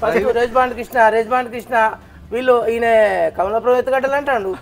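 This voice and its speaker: A man's voice: extremely high pitch, steady tone, quick pace, a crowd in the background. A man chatting casually as he leads a group, directing and questioning the others and mixing in English words. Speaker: vlogger leading a group test of walkie-talkies.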